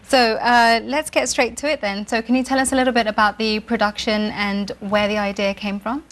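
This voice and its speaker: A woman's voice: on the high side, flat delivery, moderate pace. A woman hosting a broadcast news interview, introducing a guest and putting questions.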